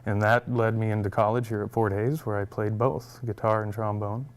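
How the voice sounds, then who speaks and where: A man's voice: lowish voice, steady tone, fairly quick. A young man, a music teacher, answering interview questions in a friendly, upbeat way and laughing easily.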